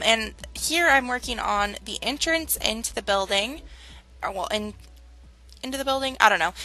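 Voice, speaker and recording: Chatty young woman, slightly croaky. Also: a little high, bright, forceful tone, no room sound, poor audio. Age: young adult. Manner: chatty, many fillers and "like"s. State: slightly hoarse from a cold. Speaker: Sims builder and channel host. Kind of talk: casual speed-build commentary.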